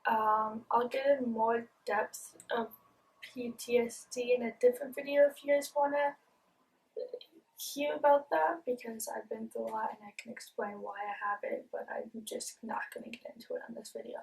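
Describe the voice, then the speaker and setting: A young woman talks to the camera in a fairly high voice, with a speech impediment that softens some sounds. She is earnest and open and keeps restarting with ums and repeated words. She is picked up by a camera mic set a bit away from her. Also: level tone, normal speed, creaky, recorded from a distance, rough recording. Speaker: vlogger. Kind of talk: casual confessional talk to camera.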